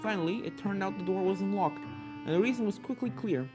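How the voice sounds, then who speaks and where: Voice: medium pitch, fast, loud music behind the voice. A narrator reading a scripted fan fiction story aloud.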